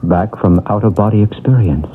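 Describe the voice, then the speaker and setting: An actor playing a calm, probing paranormal investigator in an old radio mystery, measured and earnest. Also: male voice, on the low side, slight background noise, close to an everyday voice. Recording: muffled vintage radio broadcast.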